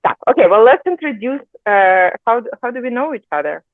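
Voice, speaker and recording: A woman's voice: a little high, big pitch swings, a little fast, no echo. A woman hosting a casual interview over a video call, prompting her guest with friendly questions and short acknowledgements. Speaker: host, Russian teacher. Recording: tinny, phone-like video-call audio.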